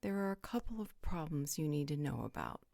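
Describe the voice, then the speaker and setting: An actress playing a bossy, sardonic female vampire in an audio roleplay, with a husky, breathy voice and a commanding, deliberate delivery. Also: low-pitched, sing-song, mellow, no room sound, clean studio sound, full character voice. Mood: blunt, matter-of-fact.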